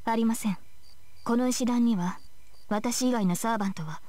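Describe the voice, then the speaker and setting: A woman's voice: on the high side, fast talker, mellow, no room sound, lightly acted. An actress voicing a vigilant young female knight in a visual novel, speaking formally, earnestly and with composure. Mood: guarded, composed. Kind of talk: Japanese visual novel voice acting.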